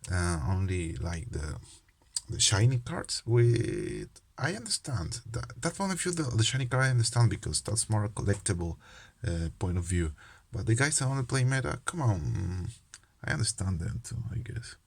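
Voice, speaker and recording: Deep-voiced Peruvian young man, hesitant. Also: big pitch swings, moderate pace, gravelly, a little mellow, breathy edge. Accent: Peruvian Spanish. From Peru. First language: Spanish. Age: young adult. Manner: hesitant, many fillers and restarts, self-deprecating. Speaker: channel host, medical student. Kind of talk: casual card game video talk.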